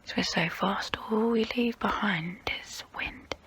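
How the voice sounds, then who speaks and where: Casting: ancient female vampire played by a woman for ASMR role play. Breathy whisper, crisp bright edge, unhurried, proud yet wistful. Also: medium pitch. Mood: wistful pride. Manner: slow, intimate, close-up, deliberate pauses.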